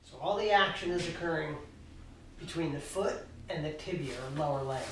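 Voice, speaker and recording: A middle-aged man talks as a ski coach, walking through foot and boot mechanics with steady cues. His voice sits a little high with some gravel in it, and it bounces around a hard, roomy workshop. He sounds well off the mic, with a faint hum of room noise under him. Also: normal speed. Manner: explains calmly with coaching cues while demonstrating. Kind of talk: instructional demonstration. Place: cluttered indoor ski workshop. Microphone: far camera. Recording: echoey room, mic far off.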